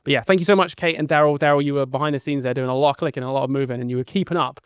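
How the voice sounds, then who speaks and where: A man's voice: medium pitch, rapid-fire, smooth, dry recording, studio quality. A British man hosting a teachers' webinar over a video call, upbeat and jokey, thanking guests a lot. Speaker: webinar host.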